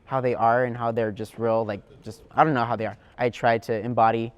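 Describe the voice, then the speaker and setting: A man's voice: mid-range voice, little pitch movement, clear, resonant tone, highs cut off. A young man, a student actor, speaking casually and unsurely in an interview. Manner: halting, unsure. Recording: noisy reverberant room with clicks.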